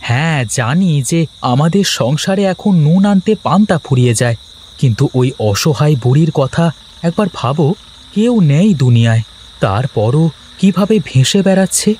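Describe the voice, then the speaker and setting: A man's voice: on the high side, lively intonation, normal speed, darkish tone, a little hiss or hum, natural voice. A man voicing an earnest, kind-hearted poor village husband in a cartoon, speaking expressively and cajolingly. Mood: earnest, coaxing. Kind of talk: Bengali moral-story cartoon dubbing.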